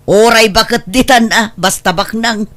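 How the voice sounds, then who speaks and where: Man acting a high-pitched, scolding Ilocano boss. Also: steady tone, a little slow, pushed and bright. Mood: disdainful. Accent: Ilocano Filipino. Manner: nagging, disdainful. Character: scolding, belittling workplace boss, addressed as madam. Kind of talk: dramatic radio-style drama acting in Ilocano.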